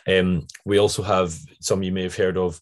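A Scottish man with a low, slightly croaky voice, chatting casually and ramblingly as he introduces a podcast. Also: flat delivery, normal speed. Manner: chatty, rambling with frequent tangents. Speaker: podcast host.

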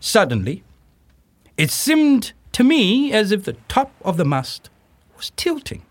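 Slow, dramatic circus director telling his tale. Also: high-pitched, wildly swooping pitch, exaggerated voice. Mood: suspenseful, grave. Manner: measured, suspenseful, with emphatic pauses. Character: circus troupe director recalling a high-wire act, dramatic, proud. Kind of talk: dramatic first-person storytelling in an audio play.

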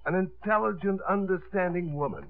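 An actor playing an earnest, easily flustered middle-aged suitor in an ardent 1950s radio comedy-drama style. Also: male voice, high-pitched, normal speed. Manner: ardent, swooping, stammering when flustered. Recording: narrow-band 1950s radio recording.

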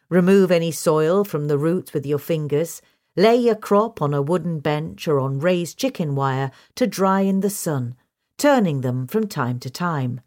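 A woman reading a scripted question-and-answer guide in a measured, instructional manner. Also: sits low, a little mellow. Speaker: reader of a magazine article.

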